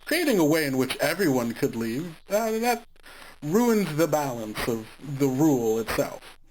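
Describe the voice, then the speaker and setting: Brief: woman plays a haughty arcade wish spirit, low-set voice with big swoops, sticks to the letter of the wish. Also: very animated, a little slow, darkish tone, slight background noise, poor audio. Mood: smug. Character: arcade-game wish granter, smug, pedantic, rule-twisting.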